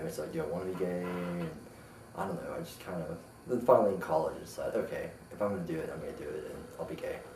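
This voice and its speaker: A man in his late twenties giving relaxed, offhand interview answers. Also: low-pitched. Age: 20s. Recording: roomy, distant mic, background noise.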